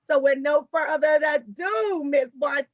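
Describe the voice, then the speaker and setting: A woman's voice: high voice, a little slow, no echo, dull audio. A woman hosting a talk show on a video call, introducing a guest with enthusiasm and calling out to the audience. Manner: enthusiastic, addresses audience as y'all. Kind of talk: hyped-up host introduction.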